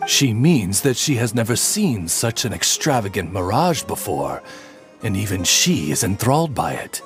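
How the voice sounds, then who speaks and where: Courteous raven butler, lively intonation. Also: male voice, lowish voice, faint music behind. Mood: explaining, deferential. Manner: formal, polite, measured. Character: courteous, erudite raven butler and royal interpreter. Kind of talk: video game voice acting.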